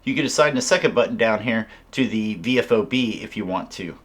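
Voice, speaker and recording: A man's voice: fairly low pitch, brisk, breathy edge. A man giving a casual hands-on product tutorial, explaining things informally step by step as a reviewer. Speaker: radio reviewer.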